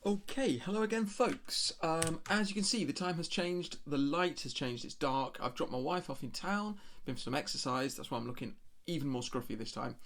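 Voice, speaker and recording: Middle-aged man, creaky voice, thinking aloud. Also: fairly high pitch, moderate pace, hardly any pauses, a bit bright. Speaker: amateur home-recording musician and streamer. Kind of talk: casual screen-share walkthrough, thinking aloud. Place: small room at home, indoors.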